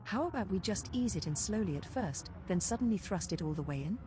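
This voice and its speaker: A woman playing a flirtatious spaceship copilot computer, giving measured, teasing readouts in a game voice pack. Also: mid-range voice, animated, noisy background, lightly acted.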